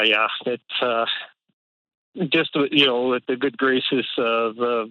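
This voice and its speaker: Middle-aged man, caller, halting speech, muffled phone-line sound. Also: medium pitch, no room sound. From Canada. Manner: hesitant, many fillers and restarts, nervous laughs. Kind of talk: casual phone-in conversation. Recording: dull phone-call audio.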